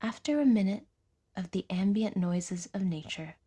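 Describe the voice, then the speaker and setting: A woman's voice: mid-range voice, lively intonation, normal speed, dark tone, breathy edge. A woman reading scripted fiction as the narrator of an audio drama.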